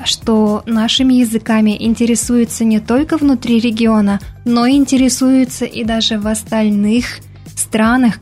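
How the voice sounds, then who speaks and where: A woman's voice: fairly high pitch, a little mellow. A young woman from Bashkortostan, a Mari language activist, answering a radio interviewer in an earnest, explanatory way with some hesitant fillers. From Bashkortostan, Russia. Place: radio studio.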